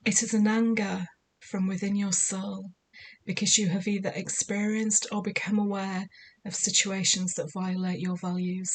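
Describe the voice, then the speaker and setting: A woman with a slightly croaky voice giving spiritual guidance in a measured, soothing, deliberate way. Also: medium pitch, a little hiss or hum. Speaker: spiritual guide. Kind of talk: scripted spiritual guidance talk.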